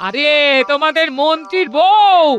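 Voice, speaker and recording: A woman's voice: very high-pitched, a little slow, highs cut off. A woman voicing a chatty, amused jester's wife in a folktale cartoon, exclamatory and gossipy.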